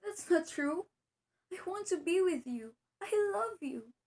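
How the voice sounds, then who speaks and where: A woman's voice: extremely high pitch, animated, unhurried, darkish tone, heavily acted. A woman playing an earnest, devoted teacher and hurt wife in an amateur stage play, pleading in her delivery. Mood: wounded, pleading. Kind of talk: amateur one-act play acting.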